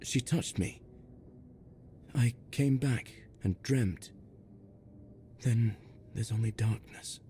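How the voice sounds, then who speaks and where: An actor playing a meek, dazed young smith's apprentice in a video game, speaking in a halting, subdued way. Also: male voice, mid-range voice, warm tone, a little hiss or hum, natural voice. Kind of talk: video game character dialogue.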